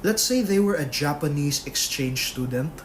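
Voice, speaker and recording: A man's voice: a little high, expressive, moderate pace, a crowd in the background. A man co-hosting a podcast in casual chat, rambling and full of fillers. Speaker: podcast co-host.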